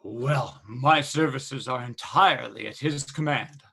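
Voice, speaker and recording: A flustered, greedy sheriff talks in a husky, breathy voice. He fawns and stammers to win favor in a radio play. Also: mid-range voice, a little slow, pushed and bright, heavily acted. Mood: fawning. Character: fawning, nervous male sheriff, greedy for favor.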